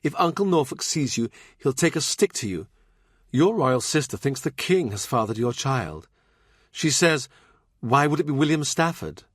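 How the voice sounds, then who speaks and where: A man's voice: medium pitch, big pitch swings, moderate pace, no room sound, studio quality, pitched above the performer's own voice. A man voicing a prim, spiteful lady of a royal court in an audiobook, with a pointed, sneering manner. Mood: warning.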